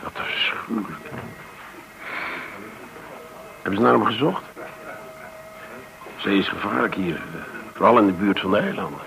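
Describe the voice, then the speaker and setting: An actor playing an excitable, talkative traveller and old friend, with a halting, exclamatory way of speaking. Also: male voice, lowish voice, gravelly. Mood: shocked, subdued. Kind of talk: naturalistic radio play acting. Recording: noisy old radio drama recording.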